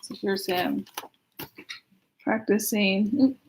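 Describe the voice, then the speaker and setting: A woman's voice: medium pitch, steady tone, takes it slowly, darkish tone, a little hiss or hum, poor audio. An American woman, a pastor leading a casual livestream storytime, chatting to children and asking them simple questions. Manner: chatty, asks young listeners simple questions.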